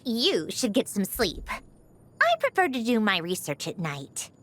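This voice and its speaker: An actress playing a haughty, refined marionette villain in a video game, prim and theatrical, with dismissive huffs and a softer side. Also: female voice, medium pitch, huge pitch swings, measured pace, full character voice. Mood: annoyed, dismissive. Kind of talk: scripted video game character voice lines.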